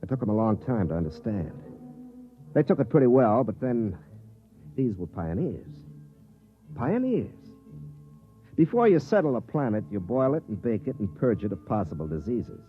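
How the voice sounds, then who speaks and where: A tired cynical army spaceship captain, low voice that swings around a lot, old 50s radio drama. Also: male voice, sing-song, moderate pace, long pauses, narrow, tinny audio. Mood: weary, bitter. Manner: cynical, world-weary, sardonic. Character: jaded, hard-drinking army space captain narrating his story. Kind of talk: 1950s radio drama acting with first-person narration. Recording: band-limited 1950s radio recording with music.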